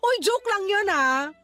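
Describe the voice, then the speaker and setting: A woman's voice: very high-pitched, bright, forceful tone, full character voice. A woman acting a joking, easily riled working-class mother in a Tagalog radio drama, with a Filipino accent and a teasing, exclamatory way of talking.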